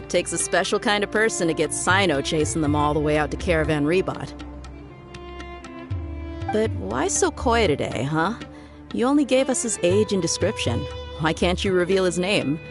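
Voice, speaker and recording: A woman's voice: on the low side, lively intonation, moderate pace, music in the background. An actress playing a breezy, confident adventurer woman in an anime game, with a casual, teasing way of talking.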